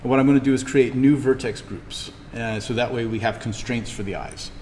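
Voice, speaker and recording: A man teaching an introductory animation class, talking informally through software steps and thinking aloud as he demonstrates. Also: mid-range voice, brisk. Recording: steady noise behind the voice.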